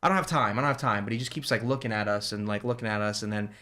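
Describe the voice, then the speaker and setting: Fast-talking man telling a story. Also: fairly low pitch. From New Jersey. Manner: fast, animated retelling with quoted dialogue. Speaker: guest, ice cream shop owner. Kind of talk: casual storytelling.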